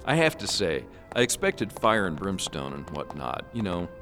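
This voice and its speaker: A man's voice: low-pitched, big pitch swings, moderate pace, fairly bright tone, soft background music, heavily acted. A man playing an exasperated, sarcastic trick-or-treater who grumbles his way through a comedic radio play.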